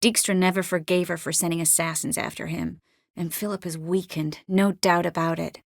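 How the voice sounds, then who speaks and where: An actress playing a confident, witty sorceress in a video game, with an expressive, wry way of speaking. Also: female voice, fairly low pitch, moderate pace, gravelly, no room sound, studio quality. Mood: serious, measured. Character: witty, confident adult sorceress, warm but worldly. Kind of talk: dramatic video game dialogue acting.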